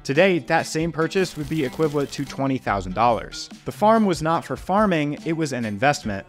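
Young male host, slightly higher voice, confident scripted explainer read, close podcast mic, faint music bed. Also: big pitch swings, fairly quick. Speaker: finance video host. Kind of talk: scripted narration. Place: small home studio room. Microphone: podcast mic on boom in front.

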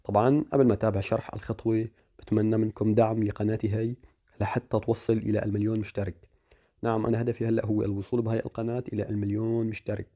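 A man's voice: fairly low pitch, flat delivery, very fast, mellow. A man giving a chatty, step-by-step phone tutorial. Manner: chatty, explaining step by step with fillers. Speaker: tech tutorial host. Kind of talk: casual screen-recorded phone tutorial.

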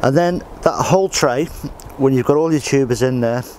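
Practical gardener talking while working. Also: male voice, mid-range voice, normal speed, darkish tone, slight background noise. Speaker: allotment gardener. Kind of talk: casual how-to demonstration. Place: outdoor allotment garden.

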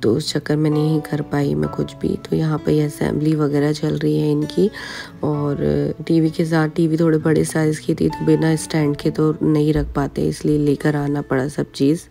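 Hoarse Indian woman narrating low. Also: completely flat delivery, moderate pace, few pauses, dark tone, faint music behind. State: hoarse from a sore throat. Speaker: vlogger. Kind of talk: voice-over narration.

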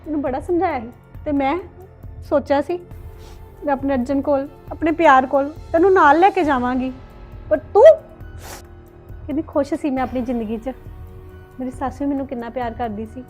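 A woman's voice: sits high, normal speed, long pauses, faint music behind, close to an everyday voice. A woman playing a bitter, anguished young mother in a domestic melodrama, reproachful and defiant. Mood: sorrowful. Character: bitter, anguished young mother rejecting her crying baby daughter. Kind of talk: melodramatic domestic drama acting.

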